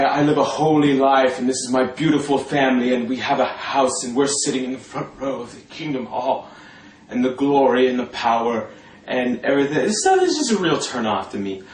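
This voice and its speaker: A man telling a personal story informally in a sardonic, gossipy, coarse-mouthed and animated way. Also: medium pitch. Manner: animated, breaking often into laughter. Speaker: storyteller talking about a past religious community. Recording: roomy echo, distant mic, faint noise.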